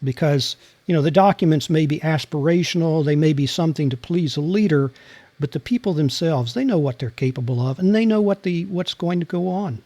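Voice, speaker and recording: A man being interviewed as a guest, speaking in a measured, deliberate and soothing way. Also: on the high side, dark tone, dry recording. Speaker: guest, former CIA officer and novelist. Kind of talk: interview answers.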